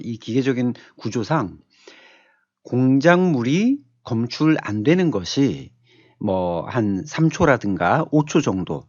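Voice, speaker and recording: A man giving an unscripted software tutorial as an instructor, explaining step by step and asking rhetorical questions. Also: mid-range voice, no echo, lo-fi sound. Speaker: automation instructor. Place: dry-sounding voice-over on a screen recording.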